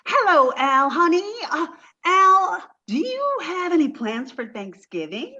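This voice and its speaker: Woman acting high, bright, dim-witted young Irma. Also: sing-song, measured pace, lo-fi sound, full character voice. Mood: sweet, eager. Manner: naive, earnest. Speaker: amateur theatre performer. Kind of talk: 1940s radio sitcom acting.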